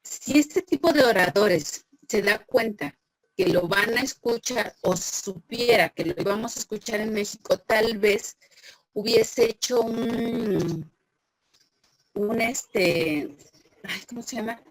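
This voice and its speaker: Slow-speaking woman teacher. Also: fairly high pitch, no echo, poor audio. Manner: patient, questioning, praises students by name. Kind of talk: online class lecture, calling on students.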